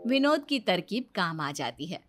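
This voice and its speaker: A woman narrator reading a scripted children's story in an expressive storytelling manner. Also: on the low side, measured pace, over music.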